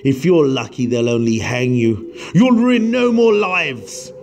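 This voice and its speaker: A man's voice: mid-range voice, expressive, a little slow, a little mellow, slight background noise. A man playing a bitter, despairing museum professor, anguished and formal, in a comic-book drama.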